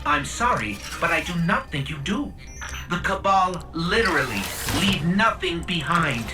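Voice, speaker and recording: An actor playing a small, earnest robot companion in a video game cutscene, speaking formally and precisely. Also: male voice, high voice, big pitch swings, a little slow, far from the mic, music in the background, heavily acted.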